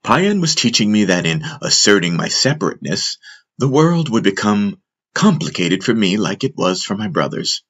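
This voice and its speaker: A man narrating a fantasy audiobook as a dramatic storyteller, solemn and deliberate. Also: lowish voice, sing-song, fairly bright tone, dull audio.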